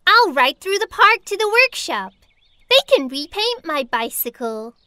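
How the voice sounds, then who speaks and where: Really high bright little cartoon girl, cheerful n helpful, explains stuff like a teacher. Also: very animated, exaggerated voice. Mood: bright, curious. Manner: slow, clear, teacherly enunciation.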